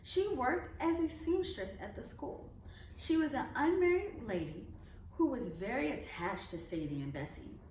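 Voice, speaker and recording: High-voiced woman reading a storybook, echoey room. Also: very animated, moderate pace, darkish tone. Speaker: storybook reader for children. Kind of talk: read-aloud of a children's picture book. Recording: echoey room, distant mic, faint noise.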